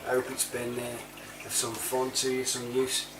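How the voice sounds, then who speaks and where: A man's voice: mid-range voice, monotone, a little slow, crowd noise. A British man giving casual, informal commentary as the host of a home-made app review. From United Kingdom. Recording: echoey room, distant mic, faint noise.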